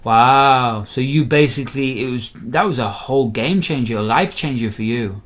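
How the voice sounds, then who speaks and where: A man's voice: medium pitch, normal speed. A man hosting a podcast, talking with enthusiastic, hype-driven energy and calling his guest "mate". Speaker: podcast host. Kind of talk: energetic podcast intro and interview.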